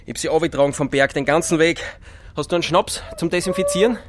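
An actor playing a matter-of-fact rural forester with an Austrian accent and a plain, unfussy, dialect-tinged way of talking. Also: male voice, on the high side, quick pace, natural voice. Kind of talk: dramatic dialogue. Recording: clear music behind the voice.

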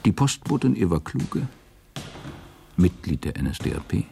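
Extremely deep, gravelly male narrator. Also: sing-song, moderate pace, warm tone, slight background noise. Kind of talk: scripted radio drama narration.

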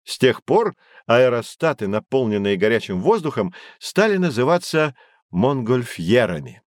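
An actor playing a knowledgeable, patient guide who teaches children in an educational audio drama, with a didactic, emphatic delivery. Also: male voice, medium pitch, big pitch swings, moderate pace, no echo, clean studio sound, lightly acted. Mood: patient, explanatory.

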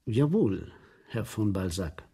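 An actor playing a gleefully macabre sewer inspector and showman in a German radio play, with a rough voice and a declamatory manner. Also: very animated, slow, mellow, exaggerated voice. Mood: sly. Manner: declamatory, swooping into shrill cries.

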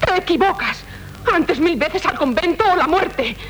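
A woman's voice: expressive, a little slow, fairly bright tone, full character voice. An actress playing a proud, impetuous young noblewoman of medieval Castile in theatrical radio drama, with a Castilian Spanish accent. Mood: scornful, indignant. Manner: haughty, impetuous, melodramatic. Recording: noisy, rumbling 1970s radio recording.

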